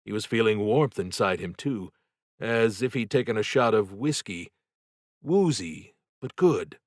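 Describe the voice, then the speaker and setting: Slow, low-voiced male audiobook narrator. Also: no echo, clean studio sound. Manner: deliberate, suspenseful, expressive. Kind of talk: dramatic scripted novel narration.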